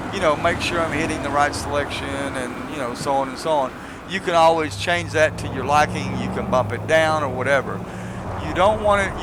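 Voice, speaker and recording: A man with an average-pitched voice walks through a game tutorial in a casual, unscripted way. He rambles a little as he explains each step. Clear background noise of urban game ambience and vehicles sits behind him. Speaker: gamer giving a mod tutorial.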